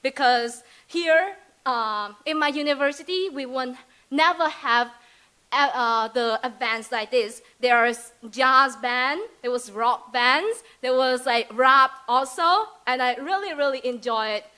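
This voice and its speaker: A woman's voice: sits high, takes it slowly, bright, forceful tone, clear, resonant tone. A young woman from Vietnam with a Vietnamese accent, giving a chatty, hesitant student presentation full of fillers and giggles. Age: young adult. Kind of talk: presentation speech.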